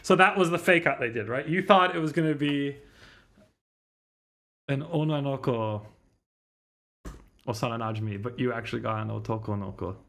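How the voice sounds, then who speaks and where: A man's voice: medium pitch, normal speed, vocal fry, pauses often, fairly bright tone. A man giving casual live game commentary, rambling and thinking aloud. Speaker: streamer. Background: game music.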